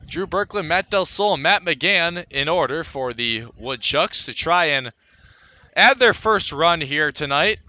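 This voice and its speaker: A man calling live baseball play-by-play as a radio announcer, conversational between pitches and lifting his delivery for the action. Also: measured pace, no room sound. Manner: lifts into excitement on plays, conversational between pitches.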